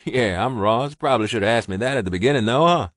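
A man voicing a gruff, commanding adult male wolf shifter who speaks loud and husky. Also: fast talker, dry recording, clean studio sound, natural voice. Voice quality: deep husky voice.

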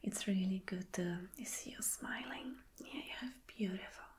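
A woman whispering softly in an ASMR roleplay as a flirty, attentive boss, gentle and reassuring. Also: mid-range voice, moderate pace. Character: flirty, attentive female boss soothing an employee. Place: small dim room indoors.

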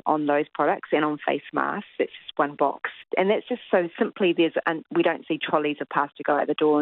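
A woman's voice: low voice, no room sound. A woman from New Zealand speaking as a supermarket spokesperson in a live news interview, hesitant with many fillers and restarts. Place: remote line into studio. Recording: tinny phone line.

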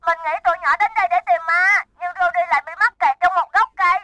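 A woman voicing a young rescue helicopter robot in a dubbed children's cartoon, brisk and urgent. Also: very high-pitched, bright, forceful tone, dry recording.

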